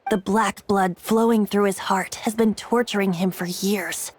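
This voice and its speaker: A woman's voice: mid-range voice, steady tone, a little slow, noisy background, close to an everyday voice. A woman voicing a playful, secretive young male bard in a video game cutscene, talking in a lilting, teasing way. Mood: solemn. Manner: lilting, teasing, then soft and solemn. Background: game soundtrack music.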